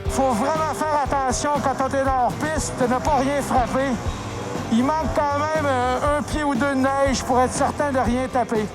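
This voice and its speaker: A man's voice: extremely high pitch, fairly even intonation, normal speed. A man hosting a snowmobile magazine, narrating and casually chatting with guides. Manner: casual, chatty, tosses questions to guests. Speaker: show host. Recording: loud music under the voice.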